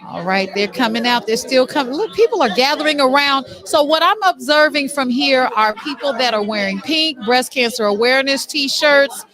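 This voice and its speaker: High female voice, show host, on-location interviewing, effusive, encouraging. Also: expressive, normal speed, hardly any pauses, crowd noise.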